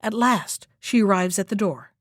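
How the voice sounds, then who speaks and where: A woman storyteller narrating a folktale in an expressive, theatrical way. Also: medium pitch, moderate pace, no echo, studio quality.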